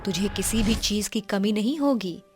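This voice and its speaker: A woman playing a gentle, reassuring mother's spirit in a cartoon moral story, speaking tenderly and soothingly. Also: mid-range voice, moderate pace, soft background music, lightly acted. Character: gentle, reassuring dead mother appearing to her daughter.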